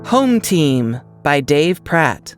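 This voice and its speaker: A woman's voice: low-pitched, very animated, very slow, music in the background. A woman reading a scripted book blurb as a dramatic narration.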